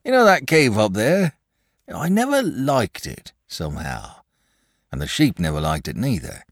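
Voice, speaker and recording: A man's voice: on the low side, huge pitch swings, measured pace, dry recording, clean studio sound, voice pushed up, exaggerated voice. A man voicing a nervous, superstitious country shepherd and father from a storybook, rambling on emphatically in rural dialect. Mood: uneasy, confiding. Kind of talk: storybook character voice.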